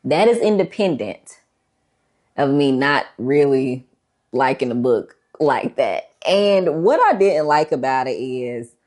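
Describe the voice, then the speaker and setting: A woman's voice: rumbling low, expressive, slow pace, a little mellow. A woman talking casually as a book review vlogger, conversational and emphatic, repeating herself to make a point.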